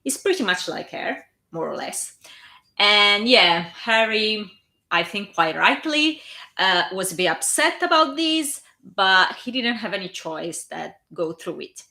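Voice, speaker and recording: A woman with a strong Italian accent reads a story aloud on a live stream, and she often breaks off for chatty asides. Her voice is bright and animated, and it rises and falls a great deal. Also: medium pitch, measured pace, creaky. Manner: lively, chatty, self-conscious asides. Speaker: fan fiction reader and live streamer.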